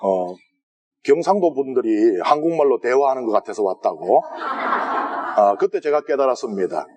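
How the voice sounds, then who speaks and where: A man with a Gyeongsang Korean accent lectures on scripture as a priest in a church sanctuary. His pitch sits mid-range for a man. He talks in a chatty, conversational way and keeps turning questions to the listeners. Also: lively intonation, moderate pace, noisy background. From South Korea. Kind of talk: lenten Bible lecture to a congregation.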